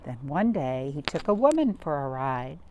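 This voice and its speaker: A woman reading a picture book aloud to young children, expressive, with dramatic gasps and questions to her listeners. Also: low voice, normal speed, muffled recording, slight background noise. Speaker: storytime reader.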